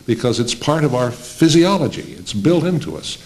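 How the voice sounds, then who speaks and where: A man's voice: on the high side, big pitch swings, moderate pace. A man speaking informally as part of a panel discussion. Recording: faint room noise.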